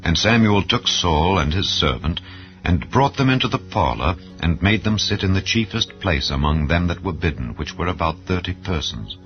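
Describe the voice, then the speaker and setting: Deep-voiced man solemnly narrating scripture over music. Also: expressive, moderate pace. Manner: solemn, formal storytelling. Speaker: audio Bible narrator. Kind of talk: scripted dramatized scripture reading. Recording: slightly dull sound with background music. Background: soft instrumental background music.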